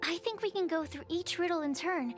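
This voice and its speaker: Very high-pitched, earnest young bookworm. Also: female voice, a little fast. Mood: thoughtful. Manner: polite, careful, earnest. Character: earnest, polite, bookish young woman researcher. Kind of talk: anime video game voice acting. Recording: background music.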